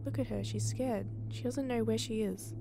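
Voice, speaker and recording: A woman playing a kind, protective spaceship crew member in an audio drama, talking in a gentle, reassuring and chatty way. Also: a little high, moderate pace, background noise, natural voice.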